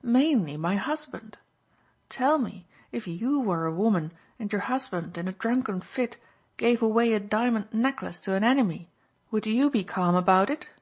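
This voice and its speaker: A woman's voice: medium pitch, lively intonation, normal speed, voice pushed up. A woman voicing an overwrought baroness who talks indignantly. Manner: agitated, indignant.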